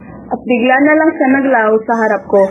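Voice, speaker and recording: A young woman acting as a dreamy, self-doubting high school girl who narrates her own story in a radio drama, mixing in English. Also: brisk, natural voice. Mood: reflective. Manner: code-switches into English. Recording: tinny, phone-like audio with hiss.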